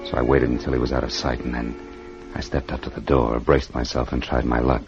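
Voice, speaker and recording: An American actor playing a tough, wisecracking private detective in old radio drama, speaking in clipped, sardonic slang. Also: male voice, extremely low pitch, little pitch movement, brisk, loud music behind the voice. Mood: cool, narrating. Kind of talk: 1940s-50s radio drama acting, hard-boiled narration. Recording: dull noisy vintage radio recording.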